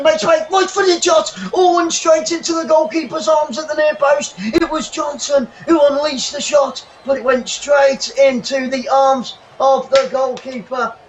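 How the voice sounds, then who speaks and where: A man hosting a live football watchalong from his bedroom, commentating the match and thanking viewers, getting excitable and shouting when chances come. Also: extremely high pitch, muffled recording, a little hiss or hum. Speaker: watchalong host. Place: home bedroom.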